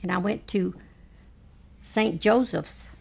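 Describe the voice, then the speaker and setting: A woman in her late seventies, reminiscing in a family oral history chat, rambling with frequent pauses and fillers. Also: medium pitch, vocal fry, darkish tone. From Texas, United States. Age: 70s. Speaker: interviewee, mother.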